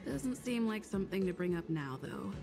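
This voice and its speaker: A woman's voice: mid-range voice, lively intonation, moderate pace, vocal fry, over music. A woman doing casual video game playthrough commentary, reading on-screen text and thinking aloud. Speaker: gamer reading and reacting.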